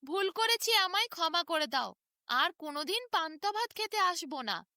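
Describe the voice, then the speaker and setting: Storybook thief caught stealing, played by a woman: wailing, pleading, high and piercingly bright. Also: big pitch swings, moderate pace, no room sound, studio quality, exaggerated voice. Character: wailing, repentant male village rice thief.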